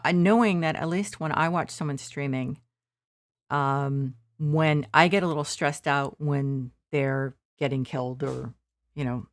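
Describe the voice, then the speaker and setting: A woman streaming a farming game from her shared living room, rambling softly with many fillers. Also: low-pitched. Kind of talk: casual gameplay commentary.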